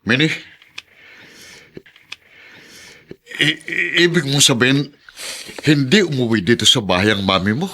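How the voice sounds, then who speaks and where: A man's voice: medium pitch, a little fast. A Filipino actor playing a stern, demanding middle-aged husband and father in a radio drama, speaking insistently. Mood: worried. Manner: forceful, insistent.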